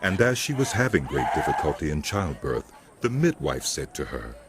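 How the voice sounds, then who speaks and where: Male narrator for Bible audio drama: very low voice, solemn, measured, with lively swings in pitch. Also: heavy background noise. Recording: loud music under the voice. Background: music.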